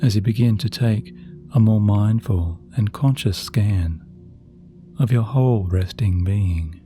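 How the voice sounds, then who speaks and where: A man narrating a sleep meditation in a soft, gentle, soothing voice with slow, measured phrasing. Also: lowish voice. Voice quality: soft, very dark and mellow. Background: relaxing background music.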